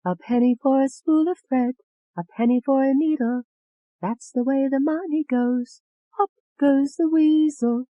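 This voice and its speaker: Audiobook villain: a high-pitched, sneering young woman, volatile and furious, swooping wildly. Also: very animated, normal speed, hardly any pauses, no echo, narrow, tinny audio. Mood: singsong taunting. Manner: sneering, taunting, explosive. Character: furious, taunting young British woman, a murderous alter personality.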